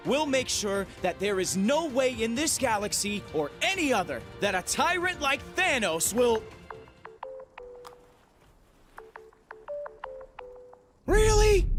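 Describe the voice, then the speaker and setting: A man's voice: very high voice, fairly bright tone, full character voice. A man playing a wisecracking, earnest space-adventurer leader in a video game, informal and wry. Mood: exasperated, wry. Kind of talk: video game cutscene acting. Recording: music behind the voice.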